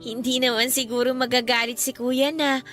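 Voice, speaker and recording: A supportive younger sister in a Filipino radio love drama. She is bubbly and girlish, with a very high, bright voice. Music plays lightly behind her. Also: little pitch movement, moderate pace. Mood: affectionate.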